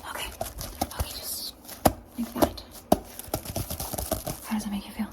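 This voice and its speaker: A woman whispering softly through an ASMR roleplay, asking slow, repeated questions close to the camera mic. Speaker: ASMR creator.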